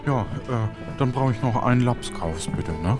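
A man's voice: medium pitch, animated, unhurried, over music, pitched below the performer's own voice, lightly acted. A man playing an easygoing, chummy pirate customer who talks in a folksy way. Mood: relaxed, chummy. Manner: folksy, ends sentences with "ne?".

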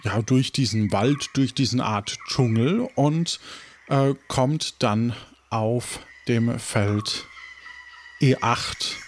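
Slow, halting male game master. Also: mid-range voice, a little hiss or hum. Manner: halting, searching for words. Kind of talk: improvised game narration.

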